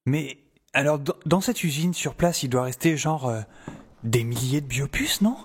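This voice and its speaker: A man's voice: mid-range voice, lively intonation, moderate pace, husky. A man playing a young professor, the wry and hesitant hero of an audio drama, who talks colloquially and narrates his own story. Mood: puzzled, hesitant. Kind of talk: audio drama dialogue and first-person narration.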